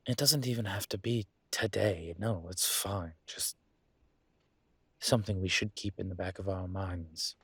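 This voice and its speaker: Casting: male actor for ancient demon teacher, intimate roleplay audio. Deep, husky tone, courteous, measured and a little hesitant, with a teasing streak. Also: fairly bright tone, no echo, full character voice. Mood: earnest, careful. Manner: measured, hesitant, formal wording. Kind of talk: intimate one-sided audio roleplay acting.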